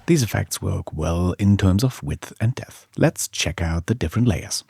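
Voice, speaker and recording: A man's voice: sits low, lively intonation, moderate pace, creaky, darkish tone, breathy edge, no echo, clean studio sound. A man giving scripted tutorial narration as an audio engineering instructor.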